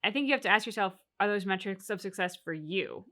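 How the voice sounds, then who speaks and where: A woman with a clearly bright, forward voice sits at a middle pitch. She co-hosts a reaction show and talks casually, in a reflective way, unpacking each point with examples. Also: expressive, fast talker. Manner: reflective, explains at length with examples. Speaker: reaction channel co-host. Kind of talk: casual discussion of a video game story.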